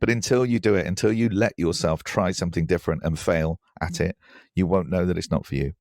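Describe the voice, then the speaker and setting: Male interview guest: low register with creaky fry, hesitant and self-deprecating, reflective conversational delivery. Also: a little fast, darkish tone, no room sound, clean studio sound. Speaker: podcast guest, writer.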